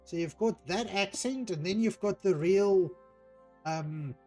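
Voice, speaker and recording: Slow-talking Afrikaans-accented man. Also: high voice, a little mellow, faint music behind. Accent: Afrikaans South African. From South Africa. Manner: unhurried, hesitant, with frequent ums while reading out chat. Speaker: streamer. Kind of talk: casual chat while playing a game.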